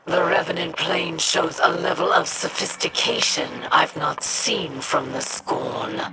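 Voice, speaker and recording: A woman's voice: sits low, unhurried, very noisy. An actress playing a proud, scornful female alien warrior in a video game, with biting, formal speech. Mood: disdainful. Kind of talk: video game mission dialogue.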